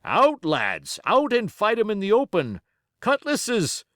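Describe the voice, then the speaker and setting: A man's voice: high voice, huge pitch swings, slow pace, fairly bright tone, dry recording, studio quality, pitched above the performer's own voice, full character voice. A man voicing a gallant, urgent sea captain from an adventure storybook, barking out clipped orders. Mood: urgent, commanding.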